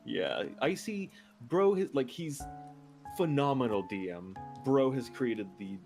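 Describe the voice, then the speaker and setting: A man's voice: medium pitch, lively intonation, measured pace, vocal fry, a little mellow, faint music behind. A man chatting casually as a stream guest, slangy, informal and enthusiastic in the way he talks. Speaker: stream guest, ASMR voice actor. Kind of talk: casual chat.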